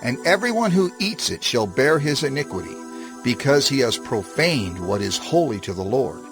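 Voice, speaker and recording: A man's voice: mid-range voice, very animated, normal speed. A man acting as a stern divine lawgiver in a dramatized scripture reading, formal and emphatic. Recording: prominent music behind the voice.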